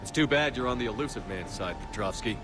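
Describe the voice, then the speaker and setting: An actor playing a steady, resolute military commander in a video game, terse and gruff. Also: male voice, mid-range voice, fast talker, loud music behind the voice, lightly acted. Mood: determined. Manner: terse, determined.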